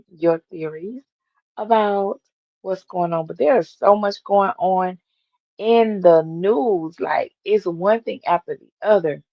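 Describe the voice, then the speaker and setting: Raspy-voiced woman hosting a live stream. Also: on the low side, no echo, lo-fi sound. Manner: chatty, addresses the chat with many ums. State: raspy morning voice. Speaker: livestream host. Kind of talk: casual live-stream chat.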